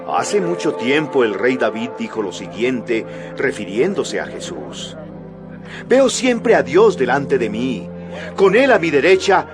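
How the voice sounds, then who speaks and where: An actor playing a fervent apostle who preaches boldly to a crowd in a dramatized audio Bible, declaiming emphatically. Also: male voice, mid-range voice. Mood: joyful, trusting. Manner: declamatory, emphatic public address. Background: background music.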